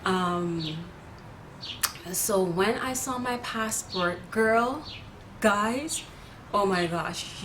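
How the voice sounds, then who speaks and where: A woman's voice: fairly low pitch, slight background noise. A woman with a Jamaican accent telling a personal story to camera outdoors in a casual, rambling vlog style full of fillers and restarts. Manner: chatty, animated, many fillers and restarts. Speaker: vlogger. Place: outdoors near neighbors.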